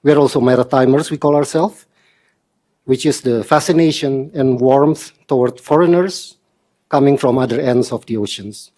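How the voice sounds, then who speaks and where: A man from Indonesia in his forties gives a prepared statement at a council meeting. He speaks earnestly and with measured pacing, in a mid-pitched voice with slightly formal phrasing. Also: warm tone.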